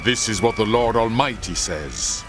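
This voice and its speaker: A man playing a solemn prophet who relays divine judgment, declaiming in a grave, measured way in a dramatized scripture narration. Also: lowish voice, expressive, background noise, lightly acted. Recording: faint music behind the voice.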